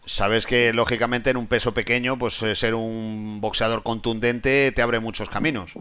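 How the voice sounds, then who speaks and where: A man's voice: mid-range voice, level tone, normal speed, bright, forceful tone, clear, resonant tone. A man interviewing a boxer, asking casual, friendly questions. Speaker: sports interviewer.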